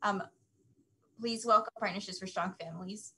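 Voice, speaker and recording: A woman's voice: mid-range voice, normal speed, sharp tone, slightly rough. A young woman hosts a career panel over a webcam, reading introductions in a friendly way. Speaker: host. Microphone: video call webcam.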